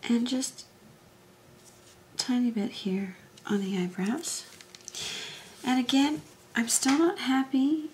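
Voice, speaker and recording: A woman hosting a craft tutorial, talking through each step in a soft, unhurried way, often dropping to a half whisper, her voice a little hoarse from allergies. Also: on the high side, slight background noise. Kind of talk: casual step-by-step demonstration.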